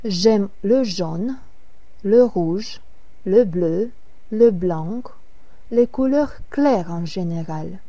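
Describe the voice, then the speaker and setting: A woman reading Marie, slow and mellow. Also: mid-range voice, lively intonation, no echo, lo-fi sound. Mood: matter-of-fact. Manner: careful, evenly paced reading. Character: adult woman chatting about clothes and taste. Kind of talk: slow scripted language-lesson dialogue.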